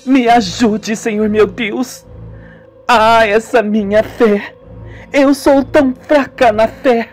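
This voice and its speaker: A woman's voice: on the high side, fairly even intonation, slow pace, a little mellow, faint music behind, pitched above the performer's own voice. A woman voicing a terrified, tearful young woman in a radio soap opera, pleading and exclaiming melodramatically. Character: terrified, tearful young sister haunted by death.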